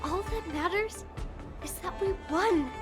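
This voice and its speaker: A very high-pitched carefree girl. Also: a little slow. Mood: breezy. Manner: breezy, blunt, enthusiastic. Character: bright, carefree young girl fighter. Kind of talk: video game voice acting. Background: game music.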